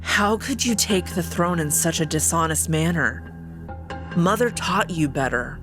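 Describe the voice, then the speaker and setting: A woman voicing a calm, stoic female wolf who is sister to the emperor, speaking in a measured way. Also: fairly low pitch, over music. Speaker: guest voice actor.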